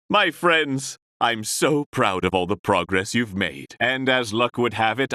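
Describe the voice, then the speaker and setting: Theatrical swindler teacher in a game, super animated pitch, flowery and buttering you up, dry studio sound. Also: male voice, dry recording, exaggerated voice. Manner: florid, grandiose, ingratiating. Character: theatrical swindler tutor peddling lessons in deception. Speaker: game character voice actor. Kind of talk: scripted video game dialogue.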